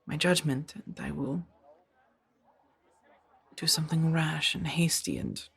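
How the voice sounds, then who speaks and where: A woman playing a gentle, earnest Jedi in a soft-spoken ASMR roleplay, speaking in a hesitant, breathy murmur. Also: sits low, steady tone, slow pace, full character voice. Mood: tender, conflicted. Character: gentle, earnest young female Jedi, tenderly conflicted.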